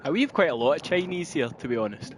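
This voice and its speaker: A man's voice: a little high, lively intonation, quick pace, background noise. A thirty-year-old Scottish man in a laid-back casual chat, swearing freely and often breaking into laughter. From Scotland.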